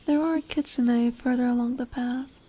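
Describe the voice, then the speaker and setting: A woman voicing a dominant lamia in a roleplay audio, curious and condescending yet nurturing, speaking deliberately with teasing, soothing near-whispers. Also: fairly high pitch, steady tone, warm tone, a little hiss or hum, close to an everyday voice. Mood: commanding, enticing. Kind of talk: slow roleplay audio monologue addressed to the listener. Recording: birdsong and woodland ambience behind. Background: birdsong, forest ambience.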